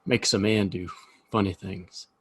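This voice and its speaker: An actor playing a weary, wry saloon keeper in a Western audio play, with a folksy, drawn-out delivery. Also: male voice, sits low, steady tone, fairly bright tone, husky, full character voice. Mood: wry resignation. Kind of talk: Western audio drama acting.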